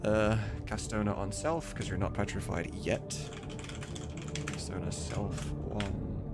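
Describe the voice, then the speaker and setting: A man's voice: fairly low pitch, fairly even intonation, slow, loud music behind the voice. A man livestreaming in a casual, rambling, sweary chat, often amused by himself. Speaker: live streamer and game modder.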